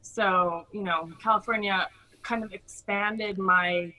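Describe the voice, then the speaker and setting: A middle-aged American woman with a very bright, forward voice. She talks in a chatty, effusive way, sharing personal stories casually as an interview guest. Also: medium pitch, fairly even intonation, slow, poor audio. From Hawaii, United States. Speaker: podcast guest.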